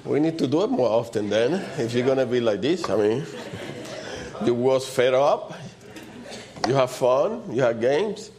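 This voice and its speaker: A man with a loud voice and preaches in an informal, conversational way, repeating short phrases for emphasis. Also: medium pitch, lively intonation, a little slow, crowd noise. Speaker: preacher leading a church service.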